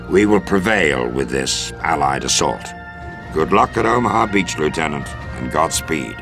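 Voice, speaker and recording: A very low, commanding American officer, delivers a formal mission briefing in a war video game. His speech is measured and authoritative, with prominent music underneath. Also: exaggerated voice. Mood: earnest.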